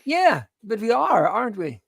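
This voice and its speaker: A woman's voice: fairly high pitch, sing-song, moderate pace, slightly rough, no room sound, studio quality. A woman co-hosting in a casual way, chipping in with short remarks and questions. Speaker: co-host relaying chat questions. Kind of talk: casual interjections.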